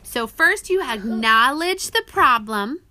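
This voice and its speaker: Bright, high-voiced woman reading and teaching. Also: expressive, slow pace. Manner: questioning, prompting, instructive. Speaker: author reading own book aloud and parent. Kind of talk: audiobook narration mixed with teaching a child.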